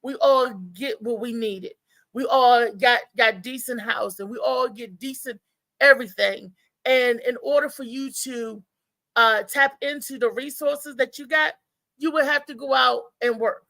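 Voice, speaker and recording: A woman hosting a live talk show with casual, conversational commentary, often halting and repeating words as she goes. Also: on the high side, gravelly, sharp tone, no echo.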